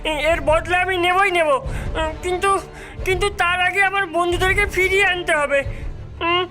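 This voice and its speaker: A woman's voice: extremely high pitch, steady tone, unhurried, pushed and bright, soft background music, heavily acted. A woman voicing a brave, earnest young boy in a cartoon, hesitant but determined. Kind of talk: cartoon acting.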